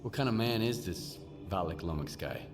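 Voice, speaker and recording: Casting: tough, laconic game protagonist, low register, blunt delivery. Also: male voice, animated, moderate pace, music in the background. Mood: blunt, guarded. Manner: terse, blunt. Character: terse, tough male newcomer scavenger in a wasteland. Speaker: player character. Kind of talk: video game dialogue.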